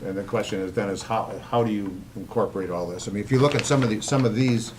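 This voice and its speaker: A man speaking haltingly in a meeting discussion, often repeating words as he works out his point. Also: fairly low pitch. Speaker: public meeting participant. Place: indoor meeting room. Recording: noisy meeting room recording.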